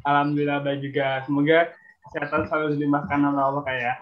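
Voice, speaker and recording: A man's voice: fairly high pitch, little pitch movement, fast talker, slight background noise. Upbeat young man hosting over a call. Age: young adult. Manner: upbeat, playful, hyping. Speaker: event MC. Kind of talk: lively MC banter. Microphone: video call webcam. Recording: tinny phone-like video call audio.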